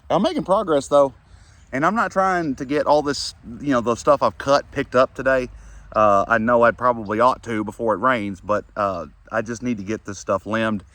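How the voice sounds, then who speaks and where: A young Kentucky man talks to camera outdoors, his voice hoarse and worn from a head cold. He rambles in an easygoing, self-deprecating way. The sound is dry and close, and his pitch sits at an ordinary middle level. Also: a bit bright, dry recording. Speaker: farm vlogger. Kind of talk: casual vlog talk to camera. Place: outdoors by a pond on a farm.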